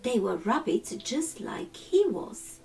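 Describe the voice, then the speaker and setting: A woman reading a children's storybook expressively, measured, with hushed phrase endings. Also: fairly high pitch, big pitch swings, creaky, recorded from a distance, faint music behind. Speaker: storytime reader.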